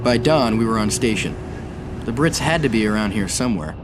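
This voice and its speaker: A man's voice: lowish voice, expressive, fairly quick, lightly acted. A man playing a confident, wry American navy commander who narrates his war in a video game. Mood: reflective, resolute. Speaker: narrator and protagonist. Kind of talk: scripted game narration and dialogue. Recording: music behind voice.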